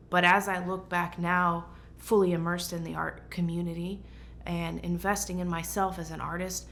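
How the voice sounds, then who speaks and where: A woman's voice: on the low side, fairly bright tone, slight background noise. A woman who grew up in Portugal, speaking earnestly and thoughtfully as a brand founder and designer in an interview. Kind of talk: reflective interview answers.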